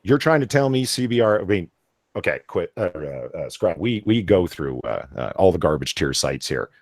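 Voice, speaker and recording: A man's voice: low-pitched, very animated, normal speed, dry recording, studio quality. A man co-hosting a live online show, chatting casually, swearing freely and reading news articles aloud with sarcastic asides. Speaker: podcast host.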